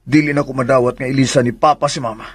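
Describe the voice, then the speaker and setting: Actor as a husky, stubborn young Filipino master. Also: male voice, mid-range voice, steady tone, quick pace, dry recording, studio quality, natural voice. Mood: insistent. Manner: commanding, terse. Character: stubborn, curt young master, loyal son. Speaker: radio drama actor. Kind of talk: Filipino radio drama acting.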